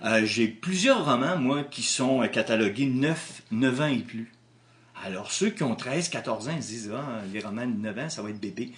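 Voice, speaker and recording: A man's voice: mid-range voice, lively intonation, normal speed. A man with a Québécois French accent, an author answering interview questions in a thoughtful way, with frequent restarts. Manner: thoughtful, with many restarts and hesitations.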